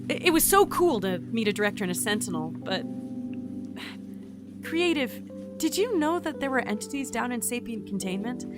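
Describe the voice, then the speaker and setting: A woman playing an earnest, anxious young entity in an audio drama, talkative and flustered. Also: sits high. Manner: flustered, expressive, halting.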